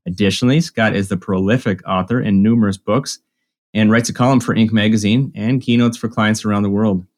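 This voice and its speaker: A man hosting a podcast, talking in a friendly, enthusiastic way with quick asides. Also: fairly low pitch, fairly even intonation, a little mellow. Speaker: podcast host. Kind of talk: casual interview hosting.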